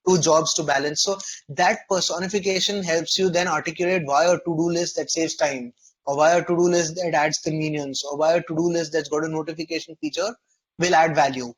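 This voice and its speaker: A man with a slightly high voice coaches someone after a mock interview. He speaks conversationally but articulately, explaining each piece of feedback in a constructive, orderly way. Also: fairly even intonation, brisk, poor audio.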